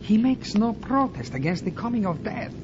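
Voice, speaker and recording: A muffled, noisy recording holds a young South American sidekick in a radio adventure serial. His voice is fairly high, with a Spanish accent, and he speaks formally, earnest and sorrowful. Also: sing-song, moderate pace. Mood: troubled, mournful. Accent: Latin American Spanish. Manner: formal, solemn, deferential. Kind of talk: old-time radio adventure serial acting. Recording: muffled recording with clear background noise.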